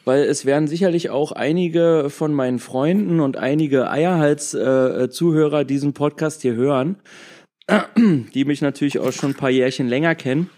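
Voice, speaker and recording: A man's voice: fairly high pitch, moderate pace, darkish tone. A man in casual podcast chat from his living room couch, talking with many fillers and restarts and often laughing. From Germany. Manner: chatty, hesitant, laughs often. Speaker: podcast guest. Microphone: laptop call.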